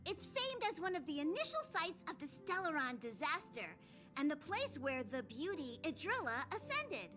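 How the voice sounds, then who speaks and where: Game mascot: small cute creature conductor, very high, lively, earnest, officious, music behind. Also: female voice, normal speed. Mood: formal, announcing. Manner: speaks of itself in third person, formal and announcing. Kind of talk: video game voice acting.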